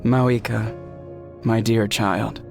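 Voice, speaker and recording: An ancient male leader's spirit, solemn and fatherly, in a video game. He speaks very slowly and tenderly, with faint music behind him. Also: on the low side, steady tone, vocal fry, a little mellow. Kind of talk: animated game voice acting.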